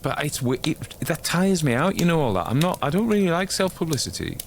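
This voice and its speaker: A man's voice: on the high side, very animated, brisk, creaky, a little hiss or hum. A man hosting a story show, talking casually about literature with rambling, chatty asides. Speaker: host and narrator of a ghost story show.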